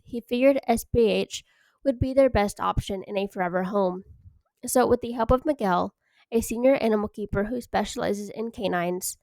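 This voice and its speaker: A woman's voice: mid-range voice, gravelly, darkish tone, no room sound. A young woman, nasal from a cold, chatting loosely through a game voice-over, rambling and correcting herself. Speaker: YouTube host. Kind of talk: casual game commentary voice-over.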